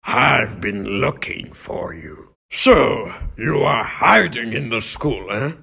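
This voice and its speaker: A man playing a pompous, suspicious middle-aged newspaper boss in a comic book dub, demanding and miserly, with a raspy, gravelly voice. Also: medium pitch, lively intonation, slow pace, sharp tone, heavily acted. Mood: gruff, scheming.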